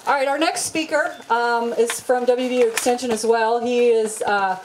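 A woman acting as emcee, making casual announcements and introducing the next speaker in a friendly, halting way with many fillers. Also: fairly high pitch, steady tone, slight background noise, poor audio. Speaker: event organizer and emcee. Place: large open tent outdoors.

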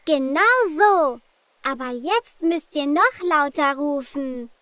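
A woman's voice: high voice, expressive, a bit bright, dry recording, exaggerated voice. A woman voicing a cheerful little girl adventurer in a preschool cartoon, speaking simply and encouragingly to young viewers. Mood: eager, encouraging. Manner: slow, simple, encouraging delivery.

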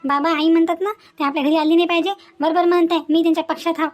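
A woman's voice: extremely high pitch, flat delivery, very fast. A woman voicing a spiteful, indignant young daughter-in-law in a cartoon family quarrel, scolding and repeating herself with rhetorical questions. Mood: scornful. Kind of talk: Marathi family cartoon dialogue.

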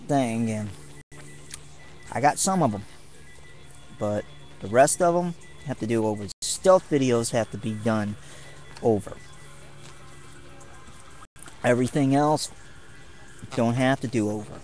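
A young man chatting casually to camera from a tent while camping, halting often with ums and pauses. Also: fairly low pitch, a little mellow, poor audio. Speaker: martial arts vlogger. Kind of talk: rambling casual vlog.

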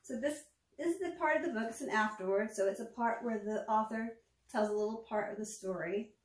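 A woman in her forties reading a children's picture book aloud, with measured, expressive storytelling. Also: a little high. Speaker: storytime reader, former teacher. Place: small furnished room at home, indoors. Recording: echoey room, distant microphone.

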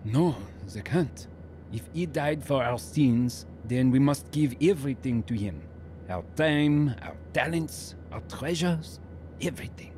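An actor playing an earnest French husband, a musician and devout new believer, in a reverent radio drama style. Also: male voice, medium pitch, animated, unhurried, dark tone, slight background noise, natural voice. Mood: reverent, searching.

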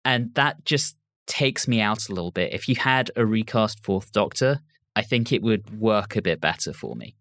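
Low-pitched man, casual podcast co-host. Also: lively intonation, moderate pace, a bit bright, no echo.

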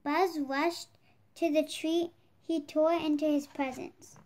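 A young child reads a picture book aloud in a very high voice. The delivery is slow, word by word, as a story for other children. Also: steady tone, darkish tone. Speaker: storybook reader.

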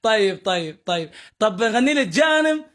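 A man's voice: high voice, very animated, normal speed, fairly bright tone. A man, chatting and teasing on a live video call, breaking into bits of songs. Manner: teasing, bursts into sung snatches of songs. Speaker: live stream co-host. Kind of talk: casual live video chat. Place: small room indoors. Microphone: earphone mic on a video call.

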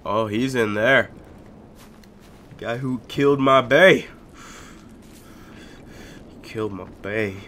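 A young man casually commentating a video game as he plays, chatty and joking, with sudden loud outbursts. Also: medium pitch, slow pace, pauses often. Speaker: gaming YouTuber. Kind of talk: casual let's-play commentary.

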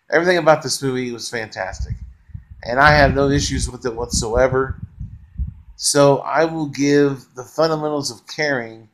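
A man's voice: mid-range voice, rough recording. A middle-aged man giving a casual, unscripted movie review from his bedroom, lying back and talking into a close webcam, rambling with lots of fillers and gushing praise. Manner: relaxed, rambling, frequent uh fillers, enthusiastic praise. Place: bedroom, lying on a couch or bed, indoors. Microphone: webcam close to the face.

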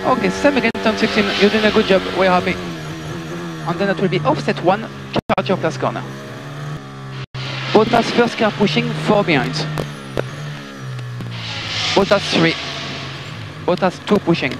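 A man's voice: sits high, lively intonation, normal speed, pauses often, over loud music. A man working as a race engineer, giving clipped, matter-of-fact instructions over team radio. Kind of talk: terse radio instructions to a racing driver.

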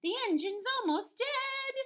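High, sing-song woolly sheep. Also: female voice, takes it slowly, pushed and bright, full character voice. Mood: cheeky sing-song. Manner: sing-song, stretched words. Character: singing woolly sheep, cheeky storybook farm animal.

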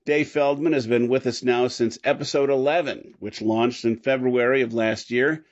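A male podcast host speaks casually in a mid-pitched voice, with a joking, teasing way about him. The sound is a little dull, as on a lo-fi recording. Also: fairly even intonation, moderate pace.

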